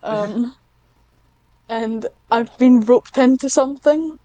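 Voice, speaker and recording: Slow, halting, higher-pitched woman. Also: warm tone, no echo, rough recording. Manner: hesitant, halting, many self-interruptions; self-conscious, awkward. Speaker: podcast guest, scriptwriter. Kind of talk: casual interview chat.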